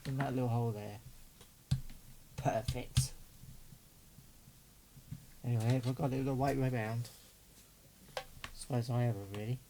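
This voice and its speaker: A man's voice: medium pitch, steady tone, a little slow, pauses often, noisy background. A man giving casual, doting unboxing commentary as a hobbyist, chatting and muttering to himself as he works. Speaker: radio hobbyist vlogger.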